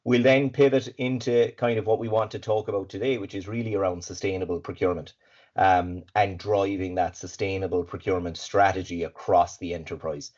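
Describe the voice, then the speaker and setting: Irish male presenter, low raspy voice, hay-fever congestion, upbeat and fluent, muffled call audio. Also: darkish tone. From Ireland. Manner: upbeat, fluent, with filler words and repeats. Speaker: guest speaker, supply chain consultant. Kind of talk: webinar presentation. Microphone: video call. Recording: dull lo-fi video call audio.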